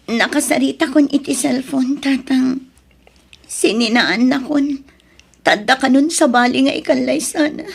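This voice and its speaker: A woman acting as a young daughter in an audio drama, with a very high voice that often turns creaky. She is hesitant and pleading, weighed down by remorse. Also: unhurried, full character voice. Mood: pleading, anxious. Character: Arlene, remorseful young daughter hiding a secret boyfriend.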